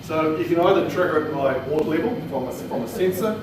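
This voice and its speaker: A man's voice: fairly high pitch, expressive, fast talker. A man with a New Zealand accent giving an informal technical presentation in a plain-spoken, hesitant way. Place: indoor training room. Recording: echoey room, distant mic, noisy.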